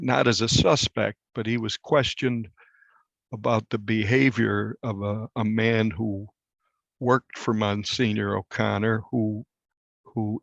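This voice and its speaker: An older man, a reporter, recalling a story in a conversational interview with measured, halting phrases. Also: fairly low pitch, vocal fry, no echo.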